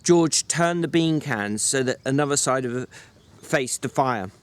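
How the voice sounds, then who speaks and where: A man's voice: mid-range voice, animated, a little slow, smooth. A man narrating a novel as a dramatic reading.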